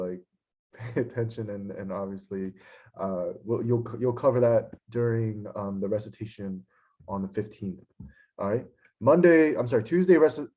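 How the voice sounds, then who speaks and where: A hoarse young man teaching an online lecture, frustrated and scolding, with lots of fillers. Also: medium pitch, warm tone. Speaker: instructor. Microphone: computer mic on a video call.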